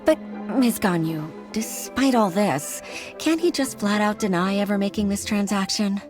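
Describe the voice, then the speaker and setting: An actress playing an earnest young junior clerk in a video game, hesitant, expressive and respectful. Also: female voice, medium pitch, a little slow, natural voice. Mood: uncertain. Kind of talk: English video game voice acting. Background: game music.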